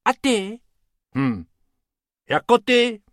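A man voicing a small timid male rabbit with a hesitant, squeaky voice. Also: sing-song, no echo, clean studio sound. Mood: reluctant.

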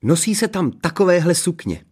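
Guy doing a young fairytale princess, high voice, gushy, snippy and spiteful. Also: lively intonation. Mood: gushing. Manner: enthusiastic, snappish, tattling.

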